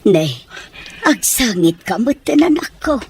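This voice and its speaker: A woman playing a gentle, caring older mother in an audio drama, speaking in a tender, consoling way. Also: a little high, very animated, slow pace, slight background noise, exaggerated voice. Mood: tender concern.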